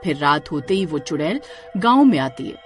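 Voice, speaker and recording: Animated woman storyteller over soft music. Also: deep voice, moderate pace. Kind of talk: scripted horror story narration.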